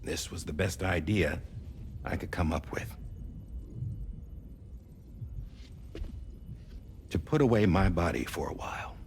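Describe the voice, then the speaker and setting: A man playing a solemn, idealistic leader, speaking in a deliberate, oratorical way in a cinematic game. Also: low voice, a little hiss or hum. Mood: grave, confessional. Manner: deliberate, oratorical, with weighty pauses. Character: solemn, idealistic male leader, measured and sincere. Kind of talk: dramatic cinematic game dialogue, speechmaking. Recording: music behind the voice.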